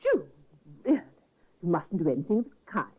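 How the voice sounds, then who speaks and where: A gracious, reassuring nurse. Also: female voice, fairly low pitch, very animated, a little fast. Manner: smooth and reassuring. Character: composed, gracious woman nurse. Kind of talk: 1950s radio drama acting. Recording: tinny restored old radio broadcast.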